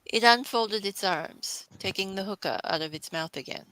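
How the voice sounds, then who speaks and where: A woman's voice: mid-range voice, moderate pace, bright, forceful tone, rough recording. A woman reading scripted storybook narration as the narrator of a classic tale.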